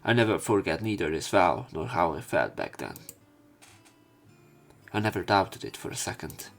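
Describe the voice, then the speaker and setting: A man with a fairly low voice reads a visual novel aloud as a let's play. He voices both narration and dialogue lines himself. Game music plays quietly behind him. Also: expressive, normal speed, gravelly.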